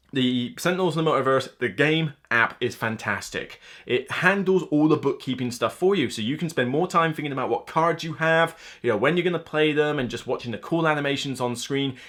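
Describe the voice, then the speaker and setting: A man's voice: mid-range voice, bright, forceful tone. A man in his thirties talking to camera as a board game reviewer, casual and enthusiastic, rambling with emphatic delivery. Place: indoor room lined with game shelves.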